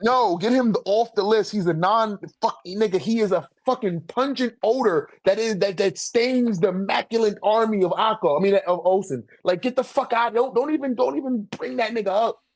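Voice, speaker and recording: A man's voice: sits high, moderate pace, hardly any pauses, no echo. A man arguing casually and heatedly, with emphatic, repetitive, profane slang. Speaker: co-host debating anime character rankings.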